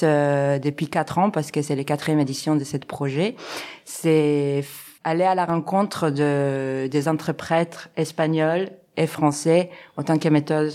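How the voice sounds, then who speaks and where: A woman's voice: low voice, darkish tone. A woman from France, the artistic co-director, answering interview questions casually with lots of hesitant fillers. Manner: hesitant, many fillers, laughs easily.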